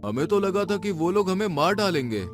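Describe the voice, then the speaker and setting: A man voicing a worried, fearful young space explorer in an animated story. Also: sits high, brisk, soft background music.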